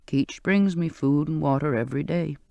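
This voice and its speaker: A reader doing a frail old sailor, hushed. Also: mid-range voice, moderate pace, vocal fry, no room sound, close to an everyday voice. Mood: patient, soft. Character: frail old male ship's cook, gentle and wry. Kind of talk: audiobook character voice.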